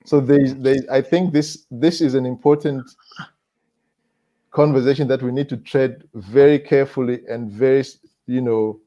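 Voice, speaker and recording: A man with a Zimbabwean accent speaking as a panelist in a reflective discussion, measured and halting, repeating phrases for emphasis. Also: mid-range voice, fairly even intonation, mellow.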